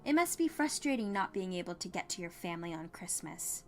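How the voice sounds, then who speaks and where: A woman's voice: lowish voice, sing-song, brisk, soft background music. A woman voicing a perky, kind young female postal worker with an upbeat, encouraging manner in an audio story. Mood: sympathetic. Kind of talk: dramatized audiobook character dialogue.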